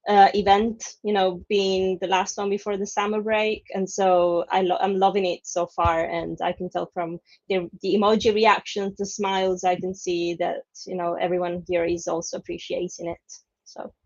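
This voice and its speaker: A woman hosting an online community event, speaking with warm, enthusiastic praise. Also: mid-range voice, little pitch movement, normal speed. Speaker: community host. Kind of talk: casual chat. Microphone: video call. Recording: dull lo-fi call audio.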